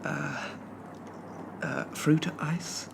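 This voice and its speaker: A man playing a shy, polite young zombie from a bygone era in a soft-spoken ASMR roleplay, stammering and apologizing in old-fashioned phrases. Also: a little high, little pitch movement, very slow, gravelly, heavy background noise, heavily acted. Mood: eager, shy.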